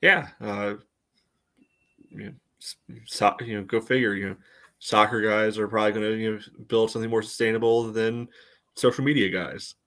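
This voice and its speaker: A man co-hosting a casual sports podcast, talking in a halting way peppered with verbal tics. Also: fairly low pitch, steady tone, a bit bright. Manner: halting, peppered with "you know". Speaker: podcast co-host. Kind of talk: casual sports commentary chat.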